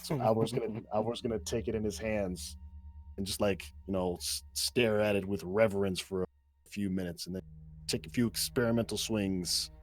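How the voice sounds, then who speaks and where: A man chatting playfully as a player in a tabletop game, excitable and jokey. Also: lowish voice, moderate pace, faint music behind. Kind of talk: casual, playful game chat.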